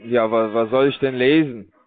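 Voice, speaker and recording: A man calling from a call center, rambling in a bored, crude, halting way. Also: medium pitch, steady tone, dry recording. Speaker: call center scam caller. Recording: dry tinny phone line.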